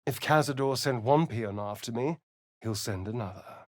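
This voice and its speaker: A British actor playing a sly, vain vampire spawn rogue in a video game, with an arch, theatrical, drawling delivery. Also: male voice, medium pitch, moderate pace, dry recording, clean studio sound, natural voice. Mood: urgent, guarded. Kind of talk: dramatic video game voice acting.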